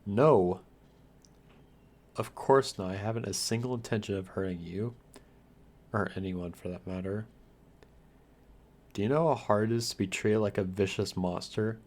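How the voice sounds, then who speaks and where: A man's voice: fairly low pitch, moderate pace, long pauses, a little mellow, close to an everyday voice. A man playing a lonely, guarded undead vampire, speaking straight to the listener in a wry, theatrical ASMR roleplay. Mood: indignant.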